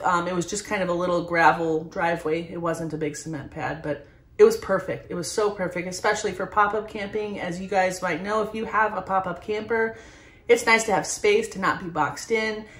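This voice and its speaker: A woman's voice: fairly low pitch, reverberant. A woman in her forties, a camping vlogger, chatting casually to viewers from inside a pop-up camper. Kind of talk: casual chat.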